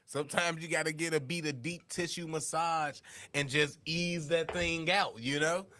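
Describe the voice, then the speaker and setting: A man's voice: fairly high pitch, steady tone, normal speed, pushed and bright. A man co-hosting a casual livestream reaction chat, with laid-back, playful banter. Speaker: reaction show co-host.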